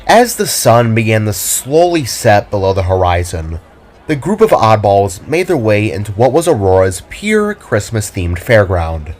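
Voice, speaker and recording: A man's voice: fairly low pitch, sing-song, a little slow, darkish tone. A man narrating his own audio drama in a descriptive storytelling style. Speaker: narrator and writer.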